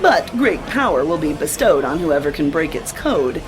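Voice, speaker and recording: A woman's voice: low-pitched, very animated, moderate pace. An actress playing a flirtatious, dramatic woman who runs an occult bookstore in an audio drama. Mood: mysterious, storytelling.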